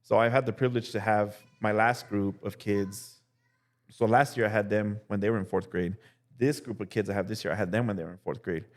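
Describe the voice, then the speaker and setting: A young male teacher telling casual stories as a podcast guest, relaxed and full of "like" and "dude". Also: lowish voice, fairly quick. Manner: relaxed, heavy use of "like" and "dude". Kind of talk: casual storytelling chat.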